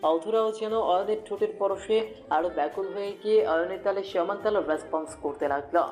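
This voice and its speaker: A woman's voice: mid-range voice, brisk, darkish tone. A woman narrator reading a scripted romantic story in the third person. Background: music.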